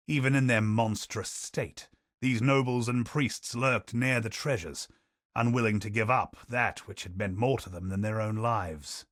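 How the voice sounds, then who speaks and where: An actor playing a solemn male chronicler of a doomed colony, in a grave, measured manner of narrating, recorded dry. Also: mid-range voice, a bit bright, dry recording. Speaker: game character narrating lore. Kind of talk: scripted lore recitation.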